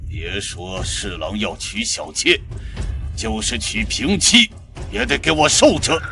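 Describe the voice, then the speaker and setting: An actor playing a harsh, domineering patriarch of a noble house in a costume drama. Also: male voice, on the low side, creaky, sharp tone, heavily acted.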